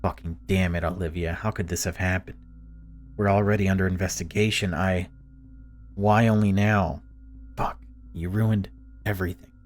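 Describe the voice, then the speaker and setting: A man's voice: sits low, steady tone, moderate pace, pauses often, slight background noise, close to an everyday voice. A man voicing a threatening, panicked gunman in a horror visual novel, terse and swearing. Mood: angry, panicked.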